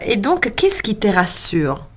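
A woman interviewer who gently prompts her guest and rephrases the guest's answers. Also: mid-range voice, sing-song, slow pace. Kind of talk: personal conversational interview.

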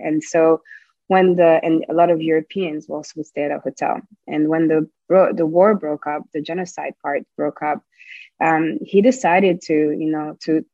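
Earnest guest in her twenties from Rwanda; low, mellow voice; dry, slightly dull recording. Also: no echo. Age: 20s. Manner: earnest, halting with restarts. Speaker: podcast guest. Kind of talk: interview answers.

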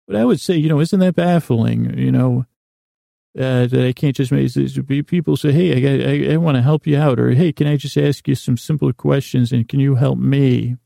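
A man's voice: mid-range voice, dark tone, no room sound, clean studio sound. A man hosting a bedtime podcast in a meandering monologue, stammering and repeating words as he goes. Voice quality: gravelly, creaky. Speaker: podcast host. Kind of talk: rambling bedtime monologue to help listeners sleep.

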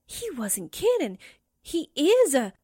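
A woman's voice: high-pitched, huge pitch swings, takes it slowly, dark tone, dry recording, studio quality, full character voice. A woman playing a flippant, slangy 1940s newspaperwoman in a radio drama. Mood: wisecracking. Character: spritely, wisecracking 1940s newspaperwoman.

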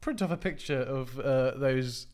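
A man's voice: mid-range voice, measured pace, a little mellow, slight background noise. A man co-hosting a podcast, teasing the guest in a dry way. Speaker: podcast co-host. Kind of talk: casual podcast chat.

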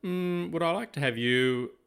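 An older man with an Australian accent, chatting as an interviewer in a measured, discursive way. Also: on the high side, creaky, a bit bright, clean tone. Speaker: podcast co-host, interviewer. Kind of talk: casual conversational interview.

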